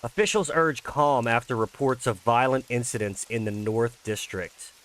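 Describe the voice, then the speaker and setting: An overly calm news anchor , read by a man in a horror visual novel. The voice is average in pitch and delivers official warnings in a measured way. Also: natural voice, poor audio.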